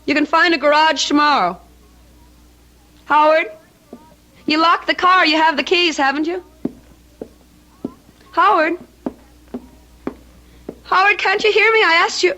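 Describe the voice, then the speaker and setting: An actress playing an emotional, melodramatic newlywed wife in an old-time radio mystery. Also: female voice, extremely high pitch, a little slow, pauses often, bright, forceful tone, exaggerated voice. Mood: anxious, affectionate. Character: frantic newlywed wife insisting her husband was murdered. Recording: old radio drama with music behind.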